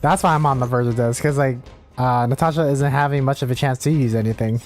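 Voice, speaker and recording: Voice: medium pitch, fairly even intonation, fast. A streamer chatting casually with viewers and commenting on a game in an easygoing, apologetic way. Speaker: livestreamer. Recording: game music behind the voice. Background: video game music.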